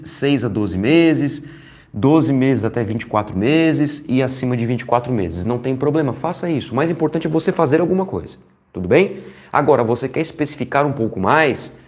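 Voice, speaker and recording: A Brazilian man with a slightly hoarse, stuffy voice, teaching on a live stream in an easy, chatty way. He greets viewers by name as he goes and keeps asking whether everyone is following along. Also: medium pitch, expressive, normal speed, darkish tone. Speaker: strength training educator hosting a live stream. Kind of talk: casual live-stream lesson.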